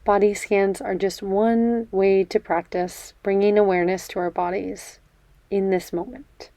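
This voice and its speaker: A woman guides a meditation at a slow, unhurried pace. Her voice is soft-edged and mellow, with a gentle, soothing delivery. The sound is dry and close, with space left between phrases. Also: mid-range voice, level tone, dry recording. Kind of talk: guided meditation, body scan.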